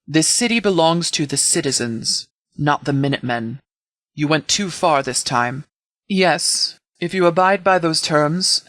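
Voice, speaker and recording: A man voicing a stern, authoritative sheriff in a video game, speaking in a measured, commanding, formal way. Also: a little high, fairly bright tone, no room sound, studio quality, lightly acted. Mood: stern, disapproving. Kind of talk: scripted game dialogue.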